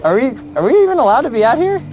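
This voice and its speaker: An actor playing a self-effacing, nervy office worker on a date, joking and hesitant in naturalistic screen drama. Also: male voice, extremely high pitch, very animated, very fast, background noise. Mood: flirtatious, sheepish.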